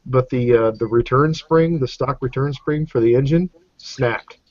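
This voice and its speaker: A man's voice: medium pitch, a little slow, darkish tone, no room sound. A man in his forties chatting casually about motorcycles, joking and swearing freely. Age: 40s. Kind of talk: casual chat. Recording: muffled lo-fi live stream audio.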